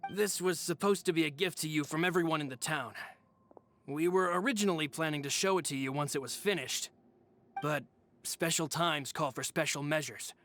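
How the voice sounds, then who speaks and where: An actor voicing a courteous, loyal male aide in a video game, speaking in a measured, reassuring way. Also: fairly high pitch, a bit bright, close to an everyday voice. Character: loyal courteous male right-hand man. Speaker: game character. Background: game music.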